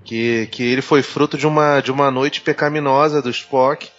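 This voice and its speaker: Mid-pitched Brazilian man, muffled podcast audio. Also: steady tone, moderate pace, a bit bright, slight background noise. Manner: informal, slangy. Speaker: podcast panelist. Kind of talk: casual chat. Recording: dull lo-fi audio, music behind. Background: music.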